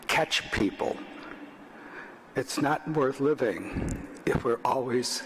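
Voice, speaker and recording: An older man, a priest, giving a conversational homily at a lectern in a church, talking plainly with frequent restarts. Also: medium pitch. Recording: reverberant church.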